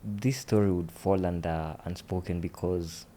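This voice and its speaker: A man in his twenties speaks as a podcast guest. His voice sits very low, and he talks slowly, pausing often with fillers. It is a relaxed, casual chat. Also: slight background noise. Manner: slow, hesitant, with many fillers.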